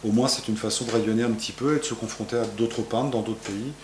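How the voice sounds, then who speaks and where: A man's voice: fairly low pitch, steady tone, fast talker, a little mellow, roomy sound, a little hiss or hum. A man in his fifties from France, a painter answering interview questions in his gallery, rambling with many fillers and restarts. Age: 50s. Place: art gallery, indoors.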